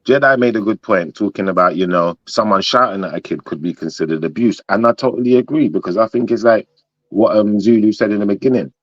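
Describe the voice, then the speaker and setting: Low-voiced man on a dull call line. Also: fast talker, no echo. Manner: irritated, wants to finish his point. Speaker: panel participant. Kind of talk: online panel discussion. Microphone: home mic on a video call.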